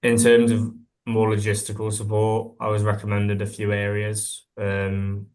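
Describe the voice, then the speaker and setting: A man's voice: lowish voice, completely flat delivery, measured pace, darkish tone. A young man answering conversationally as an apprentice on a webinar panel. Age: young adult.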